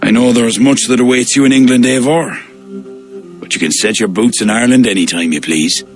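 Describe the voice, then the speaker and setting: A man's voice: medium pitch, brisk, natural voice. An actor playing a proud but humbled king in a video game, earnest and formal in manner. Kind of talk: cinematic video game acting. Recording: faint game music behind the voice.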